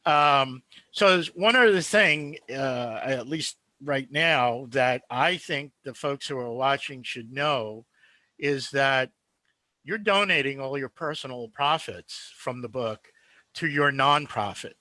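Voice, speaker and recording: Bright-voiced man hosting a casual interview. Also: medium pitch, takes it slowly, no room sound. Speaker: interview host. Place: virtual event call.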